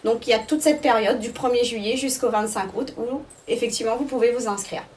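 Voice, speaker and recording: A woman's voice: a little high, brisk, a little mellow, recorded from a distance. A woman answering interview questions as the director of an arts school, explaining things phrase by phrase with hesitations.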